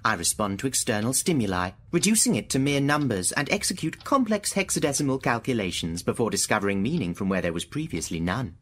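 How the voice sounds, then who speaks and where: An actor playing an aloof thinking machine in a radio drama, measured, precise and mocking. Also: male voice, medium pitch, big pitch swings. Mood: coolly logical. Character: sentient thinking machine, aloof, taunting, coolly logical.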